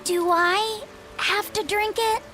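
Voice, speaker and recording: Young boy, gentle, earnest, unhurried speech, game cutscene with music behind. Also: extremely high pitch, fairly even intonation, heavily acted. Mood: shy, earnest. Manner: soft, hesitant, polite. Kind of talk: English video game voice acting.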